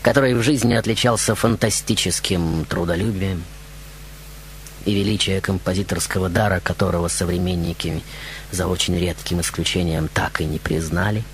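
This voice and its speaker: A man's voice: low-pitched, steady tone, normal speed, slight background noise. A man hosting a radio show in a theatrical monologue, with a grandiose, dramatic manner. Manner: grandiose, dramatic, with whispers and chuckles. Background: music behind the voice.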